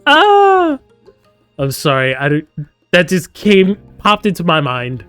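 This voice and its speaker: A man's voice: fairly high pitch, expressive, moderate pace, a bit bright, clear, resonant tone, faint music behind. A man hosting a gaming live stream, playfully reading story text aloud and breaking off into theatrical, jokey asides.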